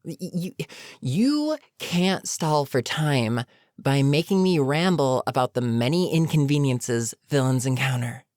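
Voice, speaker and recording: A woman playing an older, weary supervillain in an audio roleplay, menacing yet protectively concerned, with a measured, sardonic, sighing delivery. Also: rumbling low, expressive, darkish tone, dry recording, clean studio sound. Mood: smug, menacing. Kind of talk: dramatic audio roleplay monologue.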